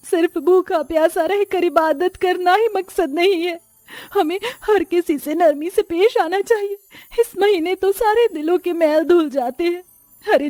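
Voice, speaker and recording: A woman's voice: very high-pitched, a little mellow, dry recording. A woman playing a frightened, devout mother in a cartoon story, speaking in a pleading manner.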